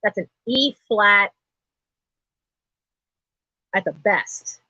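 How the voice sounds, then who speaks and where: Chatty middle-aged woman with a low voice. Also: very slow, bright, forceful tone, no room sound. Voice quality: low speaking voice for a soprano. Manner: chatty and digressive, laughs often. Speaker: host of a live online sale. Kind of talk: rambling casual live-stream chat. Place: home. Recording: dull lo-fi stream audio.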